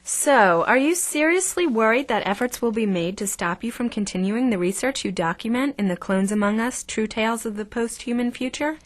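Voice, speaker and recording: An actress playing a radio book-show host in an audio drama, with a formal presenter's delivery. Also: female voice, fairly high pitch, moderate pace, hardly any pauses, dry recording, close to an everyday voice. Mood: composed. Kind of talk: scripted audio drama interview.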